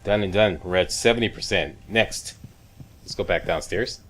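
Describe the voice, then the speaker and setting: A man's voice: sits low, normal speed, fairly bright tone. A man giving casual video game walkthrough commentary, laid-back, in short step-by-step instructions. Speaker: gaming video host. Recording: clear background game noise. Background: video game ambience.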